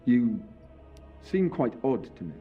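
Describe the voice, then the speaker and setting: A man's voice: medium pitch, big pitch swings, slow pace. Male guard, stern, suspicious, curt, muffled game audio, faint music behind. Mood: suspicious, warning. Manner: curt, warning. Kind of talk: video game dialogue.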